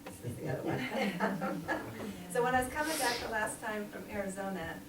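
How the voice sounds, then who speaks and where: A woman's voice: medium pitch, lively intonation, brisk. Brief: woman in her sixties, lay preacher, chatty with frequent laughs, recorded far off in a roomy, noisy church. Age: 60s. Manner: chatty, laughs often, um-filled. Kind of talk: informal church sermon. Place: small church hall. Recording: echoey room, distant mic, noisy.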